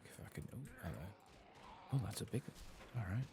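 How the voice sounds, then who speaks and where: A soft-spoken British man murmuring ASMR-style game commentary, sometimes dropping towards a whisper. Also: lowish voice, animated, a little fast, noisy background. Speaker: host of gaming playthrough.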